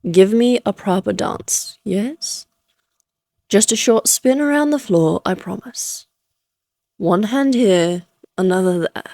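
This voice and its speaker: A woman's voice: mid-range voice, slow pace, a little mellow, no echo. A woman playing a playful, flirtatious immortal vampire in a Victorian fantasy audio roleplay, teasing in genteel, archaic phrasing. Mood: playful, coaxing.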